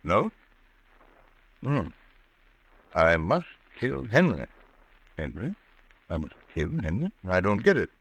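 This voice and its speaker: An actor playing a genial, concerned doctor friend in a 1940s radio drama, brisk and familiar. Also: male voice, fairly low pitch, wildly swooping pitch, fairly bright tone, breathy edge, heavily acted, rough recording. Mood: puzzled. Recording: old radio broadcast recording.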